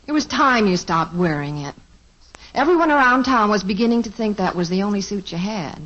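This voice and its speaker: A woman's voice: medium pitch, expressive, fairly quick, fairly bright tone. A woman playing a patient middle-aged mother and wife on a 1950s radio sitcom, with dry teasing humor. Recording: dull lo-fi old radio transcription.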